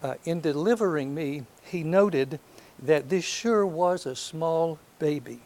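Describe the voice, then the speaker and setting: Soft-voiced older man from Alabama. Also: fairly high pitch, slow pace. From North Alabama. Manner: hesitant, many ums, self-deprecating humor. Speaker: lecturer. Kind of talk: last lecture, reminiscence. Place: lecture hall with audience. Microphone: handheld or podium microphone.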